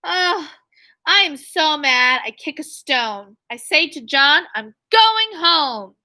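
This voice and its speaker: A woman's voice: high voice, lively intonation, slow pace, sharp tone. A woman teacher reading a story aloud to young children, expressively, with questions and asides. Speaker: elementary school teacher.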